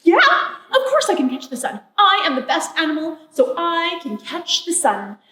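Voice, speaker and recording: Woman playing a high-pitched, vain boastful raven. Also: lively intonation, a little fast, fairly bright tone. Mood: cocky. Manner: gushing, self-admiring, dramatic. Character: vain, boastful male raven who adores himself. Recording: echoey room, distant mic.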